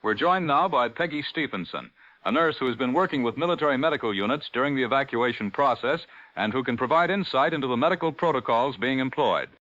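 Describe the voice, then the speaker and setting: Man acting a crisp, forceful 1940s radio newsman. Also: mid-range voice, lively intonation, lightly acted. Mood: grave, composed. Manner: formal, measured, solemn announcer delivery. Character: grave 1940s radio news anchor, man, composed. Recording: dull, lo-fi vintage radio sound.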